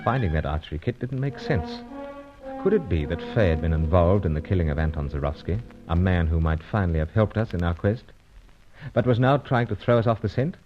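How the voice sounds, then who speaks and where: An actor playing an unflappable British secret agent in a radio adventure serial, with a clipped, understated delivery. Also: male voice, deep voice, fairly quick, music in the background, close to an everyday voice. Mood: pondering. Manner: clipped sentences with dropped words, understated. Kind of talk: old-time radio adventure serial acting and narration. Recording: dull, noisy old radio recording.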